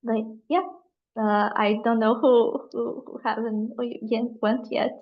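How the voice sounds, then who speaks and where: A woman's voice: a little high, level tone. Young woman on muffled audio. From Brazil. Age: 20s. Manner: earnest, a bit halting. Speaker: panelist, student delegate. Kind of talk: casual panel introduction. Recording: dull, lo-fi call audio.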